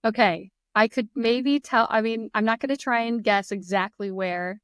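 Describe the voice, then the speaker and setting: A woman's voice: on the high side, normal speed, clean tone, no echo, clean studio sound. A young woman with a Midwestern American accent chatting casually and enthusiastically, laughing often. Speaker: guest, English teacher. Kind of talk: casual conversation.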